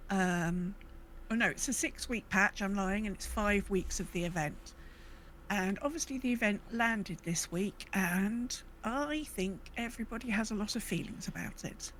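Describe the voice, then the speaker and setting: A woman's voice: medium pitch, moderate pace, a bit bright, slight background noise, poor audio. A woman co-hosting a casual gaming podcast, often hesitating and correcting herself as she talks. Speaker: podcast co-host.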